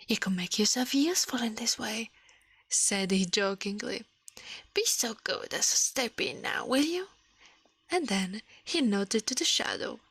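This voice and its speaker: A woman with an Italian accent speaking softly in an ASMR livestream, often whispering, close to the microphone. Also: fairly high pitch, no echo. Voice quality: soft, breathy, often whispered. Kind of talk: ASMR, soft-spoken and whispered storytelling and chat.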